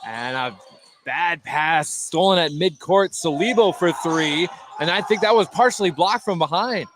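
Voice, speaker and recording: A man calling live high school basketball play-by-play as a radio commentator. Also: expressive, unhurried. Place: indoor basketball gym during a game. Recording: noisy gym ambience, bouncing basketballs. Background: loud gym ambience, basketball bounces.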